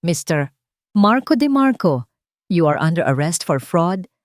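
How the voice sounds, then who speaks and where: A woman's voice: fairly low pitch, sing-song, unhurried, no echo, studio quality. A woman voicing a male police officer formally announcing an arrest. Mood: stern.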